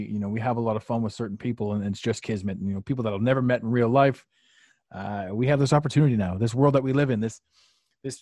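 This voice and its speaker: A man hosting a podcast in a casual, wandering way, circling back on his own phrases. His voice sits at an ordinary middle pitch. The sound is dry, with no room echo. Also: a little mellow. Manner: rambling, repeats himself, many fillers. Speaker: podcast host. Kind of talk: casual live podcast chat. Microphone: podcast mic on a live stream.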